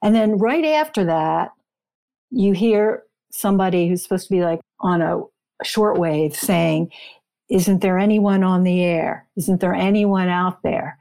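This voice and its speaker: A woman's voice: on the low side, a little slow, creaky, mellow. A woman speaking as an interviewed author, explaining and retelling in a conversational style.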